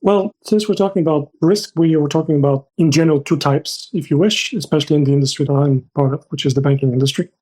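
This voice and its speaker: A middle-aged man speaking as an interview guest, explaining things in a measured, structured way with careful definitions. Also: fairly high pitch, darkish tone. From Bulgaria. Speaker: podcast guest, risk manager.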